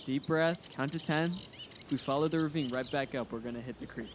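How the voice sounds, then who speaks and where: A young man playing a curious, level-headed high school boy in an audio drama, talking casually and haltingly. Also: natural voice. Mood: calming, coaxing. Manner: hesitant, thoughtful, casual. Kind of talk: naturalistic audio drama acting. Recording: noisy outdoor recording with insects. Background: crickets, forest ambience.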